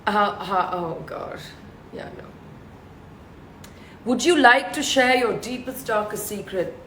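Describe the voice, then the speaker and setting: Woman, thirties, deep voice, casual live-stream chat, reads fan questions, echoey room. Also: expressive, slow, fairly bright tone, slight background noise. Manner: chatty, laughs often, reads out questions. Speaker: live-stream host answering fans.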